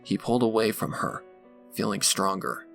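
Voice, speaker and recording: A man's voice: fairly low pitch, moderate pace, creaky, fairly bright tone. A man reading scripted fan fiction as a dramatic narrator. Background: background music.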